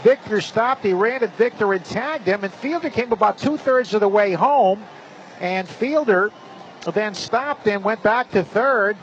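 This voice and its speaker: Male baseball play-by-play announcer, fairly high register, live radio call, slightly muffled broadcast with faint ballpark noise. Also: unhurried.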